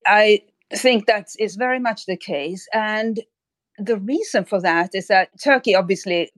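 A woman's voice: medium pitch, a little slow, dry recording. A woman from Sweden giving analytical, explanatory interview answers as an expert guest, rephrasing as she goes.

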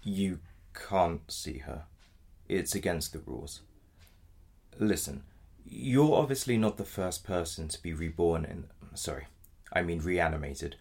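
An actor in an audio drama playing a polite but blunt defence solicitor, gravelly and measured in his delivery. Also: low-pitched, darkish tone, heavily acted. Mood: firm, matter-of-fact. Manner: measured, matter-of-fact, formally polite. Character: apologetic but blunt male defence solicitor in dystopian future.

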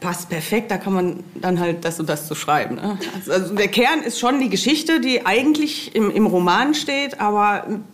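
A woman's voice: mid-range voice, lively intonation, moderate pace, few pauses. Middle-aged woman chatting, amused and meandering. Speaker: podcast guest, fan. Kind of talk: casual chat, anecdotal.

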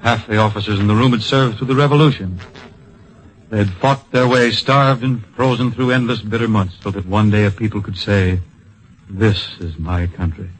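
An actor playing an earnest, solemn storyteller, narrating in poetic phrases with dramatic pauses in an old-time radio drama. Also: male voice, fairly low pitch, steady tone, natural voice, rough recording. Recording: vintage radio broadcast with music.

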